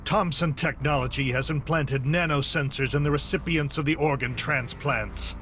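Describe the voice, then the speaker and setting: An actor playing a grieving, zealous AI researcher turned hostage-taker in a video game cutscene, delivering grandiose speeches. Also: male voice, on the high side, moderate pace, a bit bright, a little hiss or hum, lightly acted. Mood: cold, accusing. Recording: music behind the voice.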